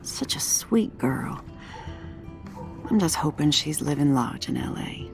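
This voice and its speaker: Casting: plain-spoken middle-aged American mom, motherly and wry, lower register, game dialogue with music behind. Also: expressive, slow, vocal fry, a little mellow, heavily acted. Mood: wistful, hopeful. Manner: folksy, motherly, teasing. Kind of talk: video game acting, conversational dialogue. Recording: music under the voice. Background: background music.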